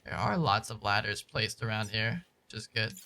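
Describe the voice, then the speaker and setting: A man's voice: lowish voice, lively intonation, normal speed, bright, forceful tone, a little hiss or hum. A man streaming a game, chatting casually with viewers in informal slang and commenting as he plays. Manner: informal, slangy, says dude and man often. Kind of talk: casual live-stream chat and gameplay commentary.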